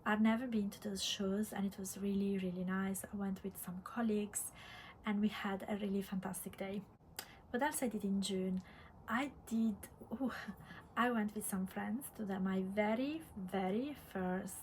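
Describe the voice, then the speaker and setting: A woman from Italy with an Italian accent chatting casually as host of a vlog, halting and reflective, often repeating words. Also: medium pitch, steady tone, rough recording. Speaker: podcast host, crafter. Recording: clear background room noise.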